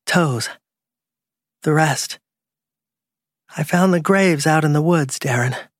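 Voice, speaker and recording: A man's voice: on the high side, takes it slowly, no echo, studio quality, voice pushed up. A man voicing a bitter betrayed woman who confronts her partner with accusing menace in an audiobook crime story. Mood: pained disgust. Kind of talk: audiobook dialogue acting.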